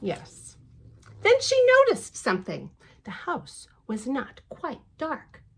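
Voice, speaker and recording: A woman reading a picture book aloud to children, expressive and playful, with gentle little asides. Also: a little high, takes it slowly, gravelly, slightly rough. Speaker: library storytime reader.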